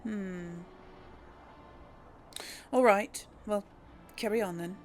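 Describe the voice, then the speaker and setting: Slow-paced, musing woman gamer. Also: medium pitch, a little mellow, a little hiss or hum. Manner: musing, talks to herself. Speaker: gaming video host playing in character. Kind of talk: casual role-play commentary, reading dialogue choices aloud.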